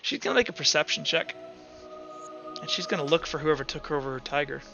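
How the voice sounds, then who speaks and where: A man's voice: a little high, lively intonation, quick pace, creaky, lo-fi sound, music in the background. A man working as game master, casually improvising narration for a tabletop roleplaying game.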